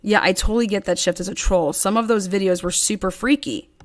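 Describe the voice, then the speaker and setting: A woman guest in an interview telling a long story in a casual, animated way and acting out quoted dialogue. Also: medium pitch, brisk. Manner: rambling with frequent restarts.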